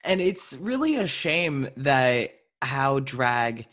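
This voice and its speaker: A man's voice: medium pitch, sing-song, takes it slowly, a bit bright. A man, chatting casually as a podcast host. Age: 20s. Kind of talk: casual interview chat.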